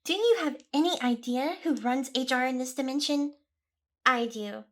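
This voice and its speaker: A woman's voice: high-pitched, normal speed, close to an everyday voice. A woman playing a smug, seductive, possessive demon boss in an ASMR roleplay, with a teasing, theatrical delivery. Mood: amused, indignant.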